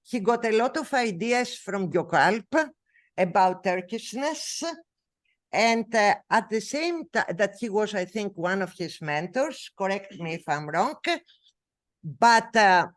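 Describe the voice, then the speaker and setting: Woman, Greek accent, non-native English, academic lecture, halting with fillers, unhurried, lively pitch. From Greece. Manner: halting, many fillers and self-corrections. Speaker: researcher giving a talk.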